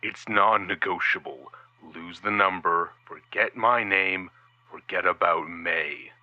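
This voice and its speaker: A gruff, wary private investigator. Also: male voice, sits low, fairly even intonation, slow, no echo, highs cut off. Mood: stern. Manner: curt, warning, guarded.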